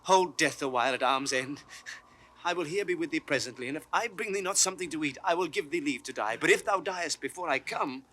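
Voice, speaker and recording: An actor playing a devoted, protective young master, earnest and urgent, in a 1960s classical Shakespeare audio drama. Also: male voice, a little high, a little fast, sharp tone. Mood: tender urgency.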